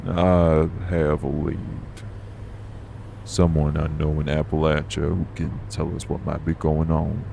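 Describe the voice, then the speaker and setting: A man acting in an urban fantasy audio roleplay as a gruff, guarded, world-weary supernatural man with a shady past. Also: rumbling low, monotone, unhurried, noisy background, full character voice. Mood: serious, focused. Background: vehicle on the road.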